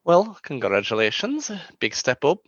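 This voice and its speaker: A man's voice: medium pitch, wildly swooping pitch, normal speed, fairly bright tone, no echo, highs cut off. A man acting in a comedy radio play as a friendly, mild bartender with a slightly hesitant manner. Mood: sympathetic. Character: friendly, easygoing bartender, gently firm.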